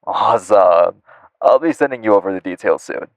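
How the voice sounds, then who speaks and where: A man's voice: on the low side, expressive, normal speed, dry recording, low-quality recording. A man acting as a polite, hesitant, apologetic assistant making a business call in an audio roleplay. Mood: awkward, courteous.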